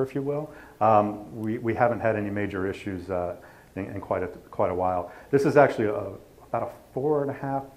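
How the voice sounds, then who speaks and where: A man with a fairly low voice gives an informal technical talk. He speaks haltingly, feeling his way through the points in a self-deprecating manner. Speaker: company presenter. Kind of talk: informal technical slide presentation.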